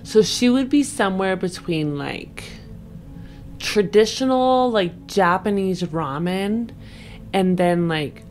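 A woman's voice: mid-range voice, slow pace, a little mellow. A woman talking casually as a podcast guest, informal and thinking aloud. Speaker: podcast guest and game player. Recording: background music under the voice.

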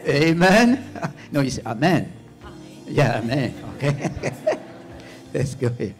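A man's voice: mid-range voice, big pitch swings, unhurried, faint music behind. An older man, a pastor, walking the pews of a church sanctuary with a handheld mic, greeting visitors by name and calling on the congregation to say amen. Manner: warm repeating of names, calls for amen.

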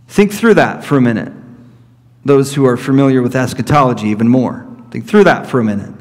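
A man in his thirties, a pastor preaching an expository sermon from a pulpit, teaching deliberately and repeating his key points. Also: mid-range voice, expressive, darkish tone. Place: church sanctuary at a pulpit. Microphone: fixed camera at middle distance. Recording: reverberant church hall.

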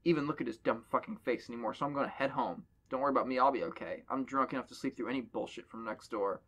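A man's voice: medium pitch, quick pace, a bit bright. A man on a podcast reading a horror story aloud to friends and joking between passages. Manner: reads dialogue aloud, jokes between passages. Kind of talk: casual reading with banter.

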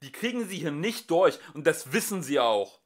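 A man's voice: on the high side, big pitch swings, moderate pace. A man doing an indignant, protesting homeowner. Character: indignant ordinary Englishman defending his house.

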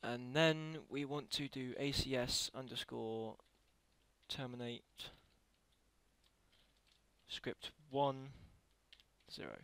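A man giving a casual software tutorial as a voice-over, talking haltingly and correcting himself as he goes. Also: medium pitch, fairly even intonation, clean tone. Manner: halting, self-correcting while typing. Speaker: tutorial creator.

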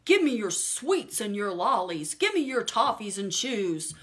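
A woman voicing a greedy, rude male rat highwayman from a picture book, loud and commanding. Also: big pitch swings, unhurried. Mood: thundering.

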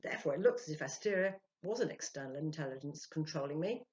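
An earnest, self-important man who works as a medium, acted out by an older woman storyteller, speaks in emphatic, animated swings. He keeps justifying himself. It is a storybook reading on a slightly dull home recording. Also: sits low, fast talker. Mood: candid, defensive.

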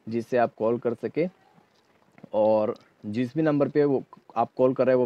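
A man's voice: mid-range voice, highs cut off. A man hosting a step-by-step phone tutorial in an instructional manner, often checking in as he goes. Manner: instructional, repeatedly checking in with "okay". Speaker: tech tutorial host.

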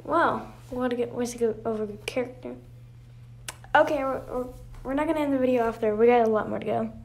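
A woman's voice: on the high side, brisk, vocal fry, a little mellow. A woman acting out scripted dialogue as an amateur voice actor for a fan animation. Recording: noisy amateur home recording.

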